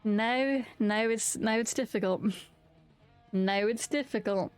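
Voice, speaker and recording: A woman's voice: fairly high pitch, slow, clean tone, faint music behind. A young woman streaming a game, chatting candidly and swearing freely, often restarting her sentences as she rambles. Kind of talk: casual chat while streaming a game.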